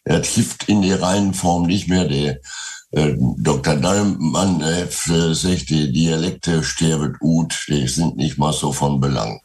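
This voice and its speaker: A man in his eighties with a Westphalian Low German accent, speaking hesitantly in an interview, with frequent pauses. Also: a little mellow. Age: 80s. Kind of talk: casual interview.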